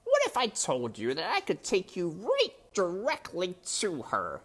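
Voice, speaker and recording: A woman's voice: lowish voice, huge pitch swings, pitched above the performer's own voice, full character voice. A woman voicing a sly, scheming male rat villain who mocks in a silky, theatrical way. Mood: sly mocking. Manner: silky, mocking, drawn-out and theatrical.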